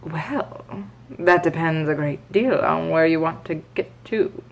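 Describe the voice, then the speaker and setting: A good-natured storybook cat, read by a woman. The voice is fairly low, calm and unhurried. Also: creaky, a little hiss or hum, low-quality recording.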